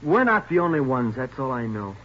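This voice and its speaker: An actor playing a defensive young gang leader under questioning in an American 1940s radio drama, sullen, evasive and agitated. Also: male voice, medium pitch, very animated, brisk, fairly bright tone. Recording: muffled, faintly noisy old radio recording.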